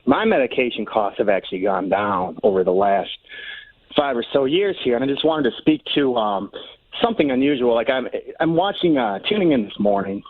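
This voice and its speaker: A male caller on a thin, narrow telephone line. His pitch moves around in a lively way as he speaks, polite and somewhat hesitant, as a viewer phoning a TV show would. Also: brisk. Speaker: phone-in caller. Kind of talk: prank call to a TV call-in show. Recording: tinny narrow phone line.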